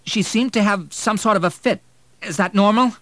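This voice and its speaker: A man's voice: mid-range voice, wildly swooping pitch, moderate pace, dry recording, voice pushed up. A man voicing an earnest, anxious teenage son in an audiobook, urgent and respectful. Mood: polite, worried. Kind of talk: audiobook character voice.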